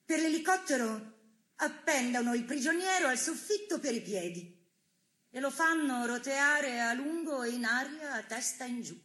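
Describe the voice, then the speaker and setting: A worn, steadfast woman held prisoner in a stage drama. She speaks in a fairly high voice, very slowly and solemnly, measuring out each phrase with gentle resolve. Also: animated, exaggerated voice. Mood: grave, haunted. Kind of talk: stylized stage theatre monologue and dialogue.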